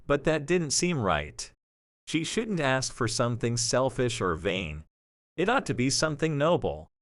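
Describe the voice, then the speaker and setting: A man narrating a scripted story for an audio drama in a measured, expressive storytelling manner. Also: medium pitch, no room sound.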